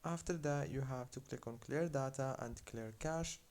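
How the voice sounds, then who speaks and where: Man narrating a how-to tutorial, mid-pitched. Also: normal speed, darkish tone, slight background noise. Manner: instructional, step-by-step. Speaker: tutorial host.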